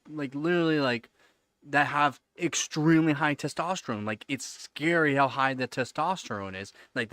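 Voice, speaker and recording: A man's voice: mid-range voice, fairly bright tone, dry recording. Rambling man in his thirties, dry sound. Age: 30s. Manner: rambling, repeats words, many "like" fillers. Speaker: livestream host. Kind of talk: casual live-stream chat answering viewers.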